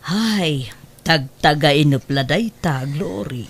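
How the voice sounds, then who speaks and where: A woman's voice: sits low, lively intonation, slow, breathy edge, dry recording, full character voice. A woman with an Ilocano accent playing a nosy, skeptical confidante who teases and advises in a comedy drama. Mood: incredulous. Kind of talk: comedy radio-style drama acting.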